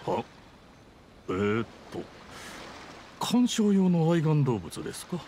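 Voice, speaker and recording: A man's voice: a little high, very animated, takes it slowly, warm tone, exaggerated voice. A man playing a scheming, easily riled official in a Japanese anime game, formal and pompous with a defensive, indignant manner. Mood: puzzled. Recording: game dialogue over ambient scene noise. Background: game scene ambience.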